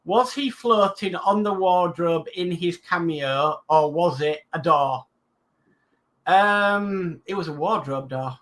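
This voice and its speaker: A man's voice: sits high, slow pace, a bit bright. A man co-hosting a live stream, reading out viewer comments and chatting about them casually. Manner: reads chat comments aloud, then chats loosely. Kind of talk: casual chat.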